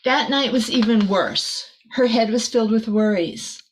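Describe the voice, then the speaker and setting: A woman reading a picture book aloud to children like a teacher, expressively, with soft chatty asides. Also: on the high side, measured pace, slight background noise, rough recording.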